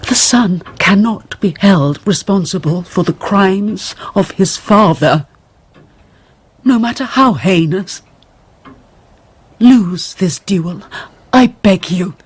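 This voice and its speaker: An actress in a radio drama playing an anguished middle-aged noblewoman and mother, formal and careful, with a husky, breathy voice. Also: mid-range voice, takes it slowly, pauses often, no echo. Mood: pleading.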